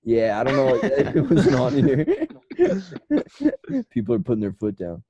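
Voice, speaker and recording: A man's voice: medium pitch, wildly swooping pitch, unhurried, vocal fry, dark tone, slightly rough, lo-fi sound. A man with an American accent in casual comedic podcast chat, joking, laughing often and swearing freely. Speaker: podcast co-host.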